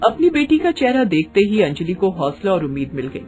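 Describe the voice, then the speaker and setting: A woman's voice: medium pitch, very animated, a little fast, a little mellow, faint music behind. A woman narrating a scripted bedtime story in a dramatic storytelling style.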